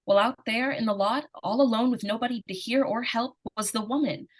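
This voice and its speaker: A woman's voice: a little high, brisk, lightly acted. A woman playing an earnest, pleading young girl in old-time radio suspense drama, urgent and insistent. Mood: subdued, haunted. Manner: urgent, breathless repetition and pleading. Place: live stage radio-play performance.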